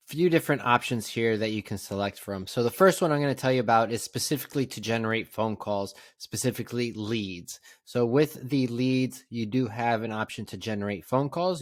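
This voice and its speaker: Low-voiced man giving a software tutorial. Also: no echo, clean studio sound. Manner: plain, instructional, conversational. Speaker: tutorial presenter. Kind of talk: casual step-by-step software tutorial narration.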